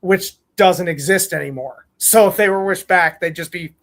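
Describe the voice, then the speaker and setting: A man's voice: high-pitched, moderate pace. A man hosting a podcast, reading fan fiction aloud and chatting casually. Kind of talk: casual read-aloud and group chat.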